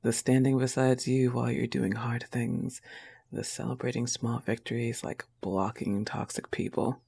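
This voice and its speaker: A young woman playing an affectionate, possessive, teasing wolf-girl girlfriend in an intimate roleplay audio, tender and often breathy. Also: very deep voice, level tone, normal speed, warm tone, heavily acted. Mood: tender, earnest.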